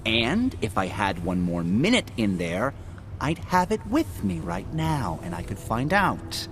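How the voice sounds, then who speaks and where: An actor playing a chatty, jittery, defensive forensic investigator in a video game, excitable and rambling with hesitations. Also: male voice, medium pitch, measured pace, background noise. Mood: earnest, conspiratorial. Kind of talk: video game cutscene acting.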